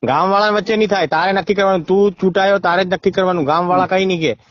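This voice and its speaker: Middle-aged man, rural eastern Gujarat accent, rapid and domineering persuader, slightly high voice, dull phone-recording sound. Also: fairly even intonation, dry recording. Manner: insistent, domineering, talks over the listener. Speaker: political party member. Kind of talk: informal phone conversation, persuading. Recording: muffled, band-limited phone call recording.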